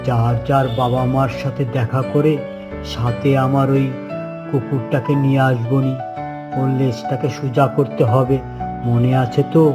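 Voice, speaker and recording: A man's voice: mid-range voice, flat delivery, a little slow, close to an everyday voice. A man voicing an earnest, obedient village boy who is eager to please, in a children's cartoon. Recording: music behind the voice.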